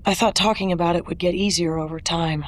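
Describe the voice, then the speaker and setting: Low-voiced, weary older woman played by an actress. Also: fairly even intonation, fast talker, lightly acted. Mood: resigned, sombre. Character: weary, guarded older female former crime fighter. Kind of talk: audio drama acting.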